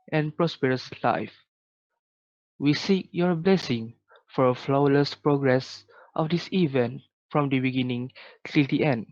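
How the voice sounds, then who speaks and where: A man's voice: medium pitch, a little mellow, dry recording. A man with a Malaysian accent leads a formal prayer recitation in a solemn, reverent manner. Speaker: prayer leader. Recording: dull, dry lo-fi microphone.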